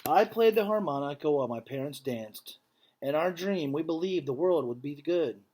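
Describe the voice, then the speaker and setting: A man reading a picture book aloud like a teacher to students, with measured phrasing and casual explanatory asides. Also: on the high side, expressive, a little mellow, husky.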